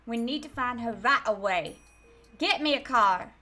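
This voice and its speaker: A woman's voice: a little high, animated, measured pace, pushed and bright, faint music behind. A woman playing a barking police chief. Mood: alarmed. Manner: barking urgent commands. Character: stern male police chief giving orders. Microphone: webcam and desk mic.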